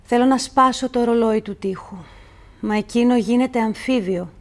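A woman reciting literary poetry in a measured, deliberate way, with pauses between phrases. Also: fairly high pitch. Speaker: poetry reader.

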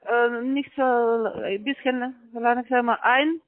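A woman's voice: a little high, fairly even intonation, slow pace, no room sound. A woman working as a call center agent on a phone call, talking in broken grammar with constant hesitant fillers. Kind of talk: phone sales pitch. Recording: tinny dry phone line.

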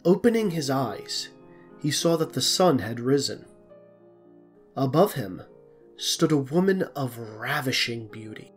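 A man reads scripted storybook narration in a mid-pitched voice. Music plays faintly behind him as he tells the tale. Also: animated, a little slow, pauses often.

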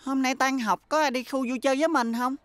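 A woman's voice: high voice, steady tone, fast, noisy background, natural voice. A woman voicing a sensitive, earnest young schoolboy in a cartoon dub, with a childlike delivery. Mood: happy. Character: sensitive young schoolboy, weary of extra classes.

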